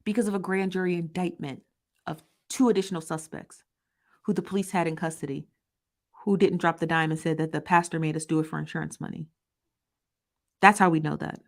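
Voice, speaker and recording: A woman's voice: sits low, fairly even intonation. A woman hosting a commentary show, arguing her case with emphatic repetition and reading viewer comments. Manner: emphatic, repetitive, argumentative, with quick laughs.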